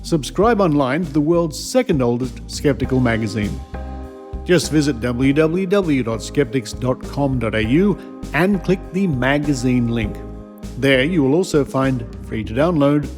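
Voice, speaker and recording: A man's voice: medium pitch, lively intonation, a little slow, darkish tone, faint music behind. A man, speaking as a podcast host. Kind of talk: casual interview chat and scripted promo reading.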